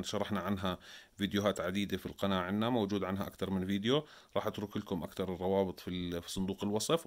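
A man with a Levantine Arabic accent presenting a hands-on tech tutorial, explaining informally step by step. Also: lowish voice.

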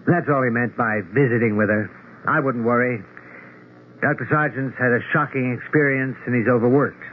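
A practical, skeptical man played by an actor in a 1970s radio drama. His medium-pitched voice probes briskly and politely. The recording is narrow and tinny, with faint noise. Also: lightly acted. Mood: reassuring, probing. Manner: brisk, polite, probing questions. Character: sensible, skeptical male friend of the doctor. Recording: tinny, noisy old radio broadcast.

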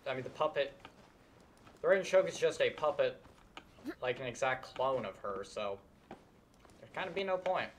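A man's voice: mid-range voice, animated, normal speed, long pauses, slight background noise. A young man giving casual live game commentary, rambling and muttering to himself. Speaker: game streamer. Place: indoor room at a desk.

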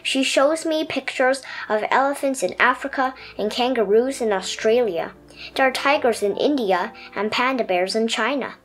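A woman voicing a dreamy, adventurous little pet turtle in an expressive storybook telling. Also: on the high side, moderate pace, lightly acted. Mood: dreamy, wondering.

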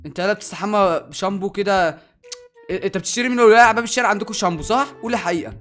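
A young man with an Egyptian accent commentating excitedly on Minecraft gameplay, animated and exclamatory as he addresses viewers. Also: high voice, racing. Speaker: gaming YouTuber and host. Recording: music playing behind the voice.

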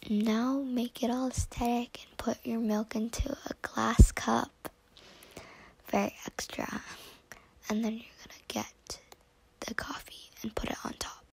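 A young woman narrating her home cooking video in a hushed, breathy voice, with deadpan self-mocking jokes. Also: fairly high pitch, unhurried, poor audio. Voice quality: hushed and breathy, near-whispered. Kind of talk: self-deprecating voice-over narration. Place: home kitchen.

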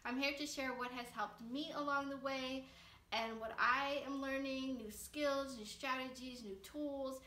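A woman's voice: high-pitched, steady tone, a little slow, bright, forceful tone, echoey room, far from the mic. A woman in her late twenties talking to camera in a casual, upbeat and earnest vlog from her living room couch. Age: 20s. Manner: upbeat, earnest, gestures with hands while talking. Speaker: vlogger.

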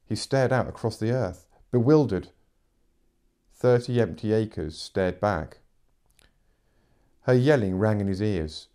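A man's voice: fairly low pitch, mellow. A middle-aged man reading fiction aloud as a narrator, in dramatic audiobook style. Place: living room, seated in armchair.

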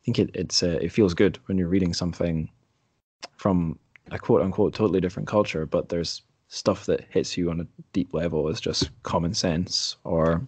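A man's voice: low voice, steady tone, moderate pace, a little mellow, breathy edge, no room sound. A man hosting a casual podcast interview. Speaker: podcast host.

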